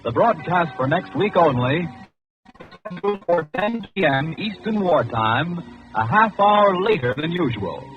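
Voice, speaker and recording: A man's voice: fairly high pitch, animated, a little slow. An American man reading scripted announcements in the formal style of 1940s radio. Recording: dull old radio broadcast recording. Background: music.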